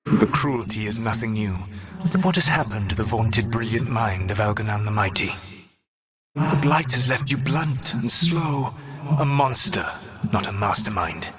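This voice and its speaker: An actor playing an ancient elven god in a video game, a grave, calculating mentor and rebel leader who speaks in measured, formal, solemn phrases. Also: male voice, fairly low pitch, expressive, long reverb tail, a crowd in the background. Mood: scornful. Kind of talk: video game dramatic voice acting.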